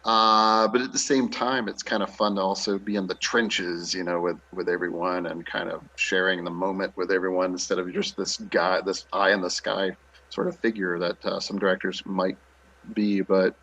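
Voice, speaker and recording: Man, low voice, easygoing theatre director-actor giving informal interview answers. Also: little pitch movement, normal speed, pushed and bright, rough recording. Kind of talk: casual interview talk.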